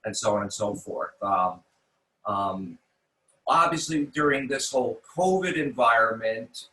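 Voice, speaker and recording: American male chef, bright forceful voice, casual interview guest who doubles back on his words, roomy echo. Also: medium pitch, expressive, unhurried. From New York City, United States.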